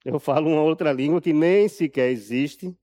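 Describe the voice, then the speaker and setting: A man with a Brazilian accent giving a live talk from a prepared text, telling his story deliberately and emphatically, repeating phrases for effect. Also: on the high side, warm tone. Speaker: journalist and author speaking at an event.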